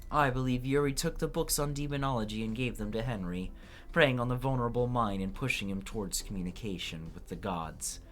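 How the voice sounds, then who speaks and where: A determined investigator, read by a man laying out a case in a game trial. The delivery is earnest and deliberate, in a middle pitch with faint music behind. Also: lightly acted.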